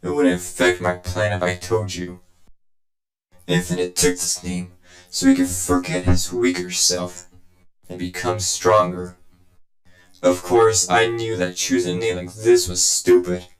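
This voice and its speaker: A man's voice: deep voice, little pitch movement, unhurried. A man playing a cold, arrogant villain in a game cutscene, speaking with condescending menace. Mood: boastful explaining. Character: cold, arrogant male villain, scornful and self-assured. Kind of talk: fan game cutscene voice acting. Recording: echoey voice over game music.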